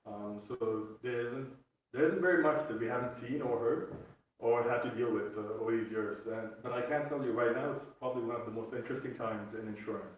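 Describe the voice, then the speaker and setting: A middle-aged man with a medium-pitched voice, heard dull and distant in a heavily reverberant room. He speaks informally as an insurance broker, with a jokey, self-deprecating touch. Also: brisk. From born in Sri Lanka, raised in Africa. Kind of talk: informal public talk. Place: public meeting room. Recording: muffled, very echoey distant room mic.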